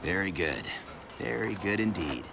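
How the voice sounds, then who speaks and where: A friendly wasteland mechanic from a video game, speaks in a low voice. He sounds familiar and assured, with clear outdoor noise behind him. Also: lively intonation, measured pace, exaggerated voice. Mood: pleased. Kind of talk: video game character acting.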